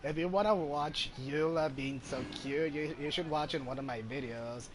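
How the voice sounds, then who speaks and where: A man giving casual live commentary as a game streamer, chatty and a little halting. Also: a little high. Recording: faint background noise.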